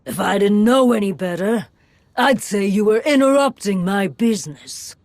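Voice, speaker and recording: Gravelly, cocky bounty hunter played by a woman. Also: medium pitch, lively intonation, moderate pace, darkish tone, dry recording, natural voice. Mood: menacing, mocking. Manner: taunting, boastful. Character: cocky female mercenary bounty hunter, taunting and menacing. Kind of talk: video game voice acting.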